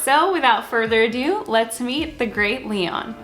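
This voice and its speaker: A woman's voice: on the high side, animated, a little slow, over loud music. A woman hosting an interview, enthusiastic and upbeat as she introduces and questions her guest. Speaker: interview host.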